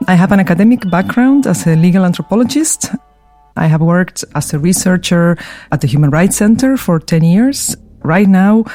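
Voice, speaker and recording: Thoughtful Argentine-born woman, mellow voice. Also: sits low. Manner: thoughtful, hesitant, with soft laughs. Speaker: university research coordinator. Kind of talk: recorded personal testimony.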